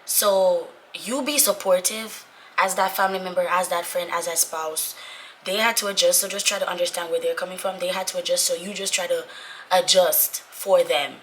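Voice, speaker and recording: Young female vlogger, lowish bright voice gone raspy with illness, informal chatty delivery, some background noise. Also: steady tone, moderate pace. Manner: informal, repetitive for emphasis. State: sick, voice going away. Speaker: vlogger, Navy corpsman trainee. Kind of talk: casual chatty vlog talk to viewers.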